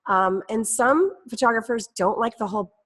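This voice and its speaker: A woman's voice: fairly high pitch, normal speed, a bit bright. A woman hosting a casual live talk show over a video call, chatting upbeat with many fillers while she has a cold. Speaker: host.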